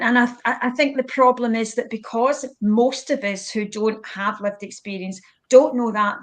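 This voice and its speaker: A woman gives her view in a panel discussion. Her voice sits at an average pitch, and she is earnest and emphatic.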